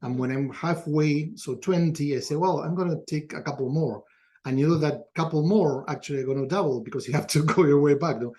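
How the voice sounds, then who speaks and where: Argentine guy w/ spanish accent, mellow relaxed voice, thoughtful podcast guest just chatting. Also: fairly high pitch, fairly quick. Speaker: podcast guest, culture consultant. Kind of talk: conversational interview answers.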